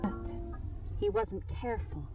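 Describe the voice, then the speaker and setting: Storybook narrator of a dark fable: high, very slow, muffled and distant under music. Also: female voice, huge pitch swings. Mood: slow, ominous. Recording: muffled, distant horror video audio with music. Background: clear music.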